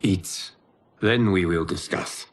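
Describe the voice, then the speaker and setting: An actor playing a solemn, wise guardian mentor in a video game, speaking in a measured, formal way. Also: male voice, low-pitched. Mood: calm, solemn. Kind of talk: video game cutscene acting.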